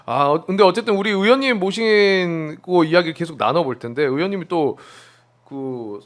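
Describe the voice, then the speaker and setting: A man's voice: fairly high pitch, animated. A man hosting a political talk show in a chatty way, often echoing his guests' words. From Jeolla region, South Korea. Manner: chatty, quick reactions, echoes guests' words. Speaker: host.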